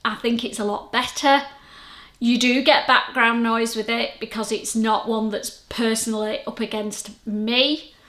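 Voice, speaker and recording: A woman's voice: a little high, fairly even intonation, a little slow, fairly bright tone. A middle-aged woman with a Lancashire accent, chatting to camera in a friendly, rambling, self-deprecating way about her sewing vlog. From Lancashire, England. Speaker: sewing vlogger. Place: at home. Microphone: camera-mounted microphone. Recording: echoey room, camera mic.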